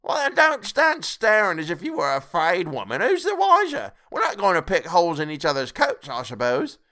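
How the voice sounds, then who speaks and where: Storybook role: brazen thieving woman, played by a man, high, strident, defiant, very animated. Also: moderate pace, exaggerated voice. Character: brazen, defiant older working woman, thief.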